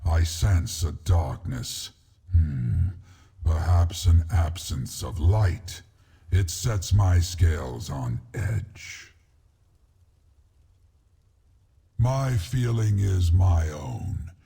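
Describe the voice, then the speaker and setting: An actor playing a proud, brooding ancient dragon in a video game, speaking formally and cryptically in a gravelly, raspy voice. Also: male voice, deep voice, lively intonation, drawn-out words, mellow, heavily acted. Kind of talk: video game character dialogue. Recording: music under the voice.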